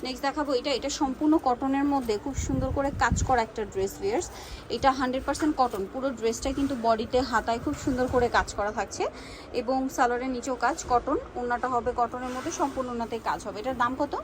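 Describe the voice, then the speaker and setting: A woman with a Bangladeshi accent presenting products to viewers in a brisk sales showcase, repeating and checking details. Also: sits high, noisy background. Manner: brisk, repeats prices and asks for confirmation.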